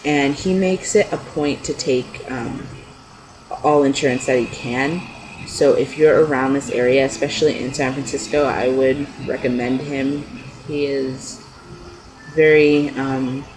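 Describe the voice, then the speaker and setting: A man's voice: fairly even intonation, unhurried. A young man chats casually and unscripted in a rambling, hesitant way, like a personal video blog. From California, United States. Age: young adult. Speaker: video blogger. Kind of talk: casual unscripted chat to camera. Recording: noisy low-quality amateur webcam-style audio.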